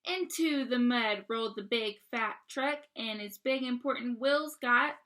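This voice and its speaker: A woman reading a picture book aloud to young children, expressively, pausing to ask simple questions and explain. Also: on the high side, a bit bright. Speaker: read-aloud storyteller.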